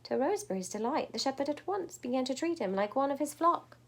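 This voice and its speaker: A woman's voice: high-pitched, animated, quick pace. A woman reading a children's story aloud, expressively and with chatty little asides. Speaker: storybook reader.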